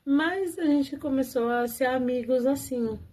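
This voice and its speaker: A woman's voice: high voice, measured pace, dark tone, slight background noise. A woman telling viewers her own love story in a casual, chatty way, often laughing and talking straight to them.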